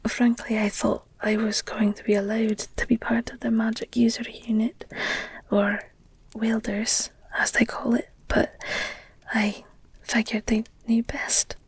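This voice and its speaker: Woman doing a soft werewolf gf for asmr rp, super breathy whispers, very even pitch, tender and confiding. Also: full character voice. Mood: confiding, hesitant. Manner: slow, tender, hesitant, confiding. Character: affectionate werewolf girlfriend, gentle, candid, wistful about her past. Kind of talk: intimate ASMR audio roleplay.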